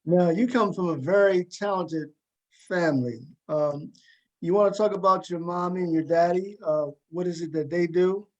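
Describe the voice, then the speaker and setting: Man, talk show host, fairly high voice, casual interview questions. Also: normal speed, darkish tone, muffled recording.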